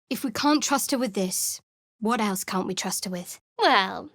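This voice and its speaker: A woman voicing a guarded, sardonic young cleric of a dark goddess in a fantasy game, speaking in a dry and wry way. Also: a little high, no room sound, lightly acted. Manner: dry, wry and cool, with sly sarcasm. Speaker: party companion in a fantasy video game. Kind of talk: recorded game voice lines. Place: studio booth. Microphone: close studio mic.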